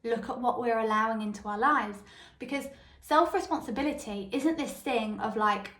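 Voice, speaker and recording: A woman with a high, light voice hosting a solo self-help podcast. She tells personal stories and keeps checking in with the listener. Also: normal speed, fairly bright tone. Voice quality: high, light voice that others called squeaky. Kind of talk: solo motivational podcast monologue. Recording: echoey room.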